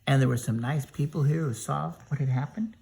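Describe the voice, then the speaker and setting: A man reading a children's story aloud, in an expressive way with chatty asides. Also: mid-range voice, fairly quick, vocal fry. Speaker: storybook reader.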